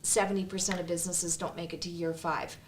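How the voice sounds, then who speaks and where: A woman interviewing her guests in a relaxed chat, asking probing follow-up questions. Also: on the low side, fast, a little hiss or hum. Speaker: interviewer, business co-founder. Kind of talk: casual interview.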